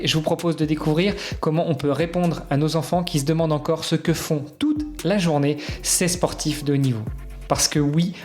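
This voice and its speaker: A man hosting a sports podcast, upbeat and eager as he reads his scripted introduction and questions his guest. His voice sits a little high for a man. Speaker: podcast host. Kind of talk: scripted intro then interview.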